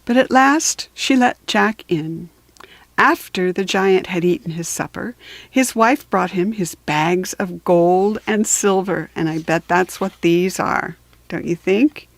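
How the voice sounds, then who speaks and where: Slow, careful woman reading a storybook. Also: fairly low pitch, dry recording. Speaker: storybook reader. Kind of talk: slow, careful read-aloud for beginning readers.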